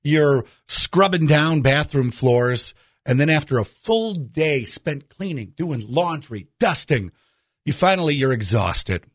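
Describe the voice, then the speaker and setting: A male radio host with a very animated, swooping delivery. He talks in a jokey, conversational monologue, speaking straight to the listener with rhetorical questions. The sound is close and dry. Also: a little high, takes it slowly, no room sound.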